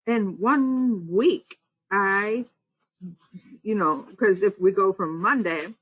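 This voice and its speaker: A woman's voice: mid-range voice, slow, breathy edge, poor audio. A woman who is a county supervisor and state representative, answering interview questions and explaining things step by step in lists.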